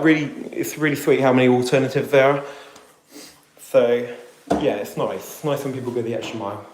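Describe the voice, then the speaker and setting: A man's voice: medium pitch, darkish tone. A British man chatting casually on a live stream while cleaning, reading out and answering viewer comments. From Britain. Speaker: vlogger. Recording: roomy home recording with faint noise.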